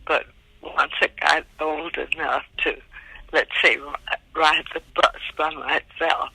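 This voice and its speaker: An older woman in her eighties, from Montgomery, Alabama, tells her story unhurried, pausing often. Her voice is gravelly and husky yet bright. The sound is dry and close. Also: low voice, fairly even intonation, dry recording, poor audio. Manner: slow and reflective, soft-spoken with pauses. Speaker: interviewee, great-aunt. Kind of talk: recorded family oral history.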